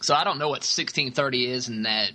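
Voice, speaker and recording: A man co-hosting a podcast in casual chat, speaking haltingly and often repeating himself. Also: mid-range voice, bright, forceful tone. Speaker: podcast co-host.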